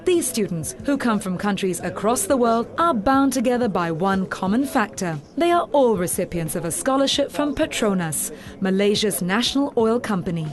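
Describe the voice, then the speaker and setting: A woman giving scripted documentary narration in a measured, formal way. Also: medium pitch, expressive, soft background music.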